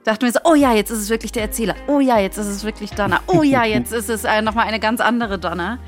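A woman's voice: on the high side, fast, soft background music. A woman co-hosting in casual chat, speaking in an effusive, informal way. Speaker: co-host and scriptwriter.

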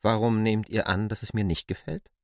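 An actor playing a polite but persistent newcomer to a village in a literary radio play. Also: male voice, lowish voice, fairly even intonation, a little fast, no room sound, studio quality, lightly acted. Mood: probing, puzzled.

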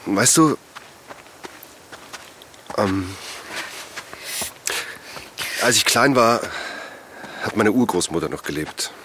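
A middle-aged man acting a calm father of two in an audio drama, giving short, dry answers. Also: lowish voice, slow pace, pauses often, slight background noise, full character voice. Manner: calm, dry, brief replies. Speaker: local villager.

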